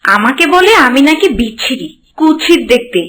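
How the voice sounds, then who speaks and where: A woman's voice: high voice, sing-song, normal speed, a bit bright. A woman voicing a haughty, easily wounded young princess in a cartoon fairy tale. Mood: hurt, indignant. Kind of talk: cartoon voice acting.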